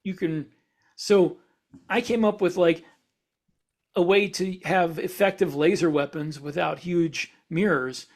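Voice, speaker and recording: A man's voice: on the high side, takes it slowly. A man talking casually as a podcast host, explaining ideas and thinking aloud as he goes. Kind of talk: casual discussion.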